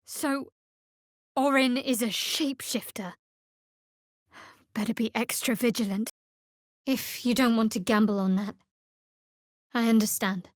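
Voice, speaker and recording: An actress playing a guarded, devout young woman cleric in a video game, with a wry, measured delivery. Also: mid-range voice, no room sound, clean studio sound, natural voice. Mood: cool, wary. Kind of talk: video game character voice acting.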